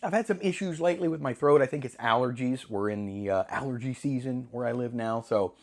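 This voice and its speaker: A middle-aged man with a slightly hoarse voice, chatting casually to camera in a rambling, self-deprecating way with jokey asides. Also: medium pitch, brisk, a little mellow. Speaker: film hobbyist channel host.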